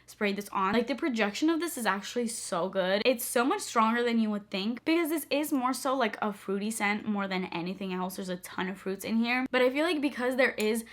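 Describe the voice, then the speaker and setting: A young woman talks straight to camera in a bubbly, gushing way, peppering her sentences with "like". Her voice is slightly hoarse. She sounds relaxed and casual, recorded at home. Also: on the high side, fairly quick, few pauses. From moved to the U.S. as a child. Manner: bubbly, gushing, many "like" fillers. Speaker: beauty vlogger. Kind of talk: casual chatty vlog.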